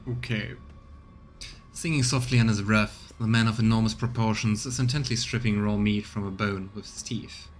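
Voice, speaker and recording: A man's voice: fairly low pitch, steady tone, normal speed, faint music behind. A man streaming a let's play, reading out the game's narration and adding his own casual commentary. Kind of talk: game commentary and narrated reading of on-screen text.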